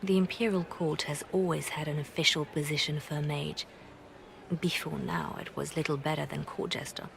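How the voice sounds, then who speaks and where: An actress playing a calm, businesslike female spymaster in a video game cutscene. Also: low voice, moderate pace, gravelly. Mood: brisk. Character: cool scheming female spymaster. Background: music.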